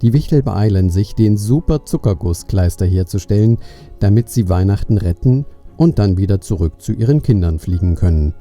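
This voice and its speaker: A man's voice: on the low side, lively intonation, normal speed, warm tone, faint music behind, lightly acted. A man narrating a children's Christmas audio play as a storyteller.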